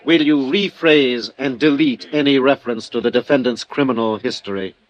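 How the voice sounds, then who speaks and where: An actor playing a formal, methodical district attorney in a 1950s radio drama, questioning in a courteous, pointed way. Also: male voice, medium pitch, normal speed, dry recording, lo-fi sound, natural voice. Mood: formal, probing.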